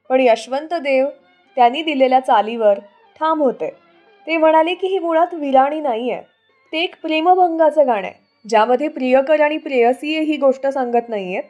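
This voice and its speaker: A woman's voice: high voice, darkish tone, dull audio. A woman hosting a radio show, telling scripted stories in speech.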